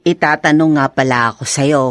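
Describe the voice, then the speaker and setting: A woman's voice: sits low, normal speed, dry recording, studio quality, close to an everyday voice. An actress with a Filipino accent, playing a fond, talkative middle-aged mother in a radio drama. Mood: coaxing.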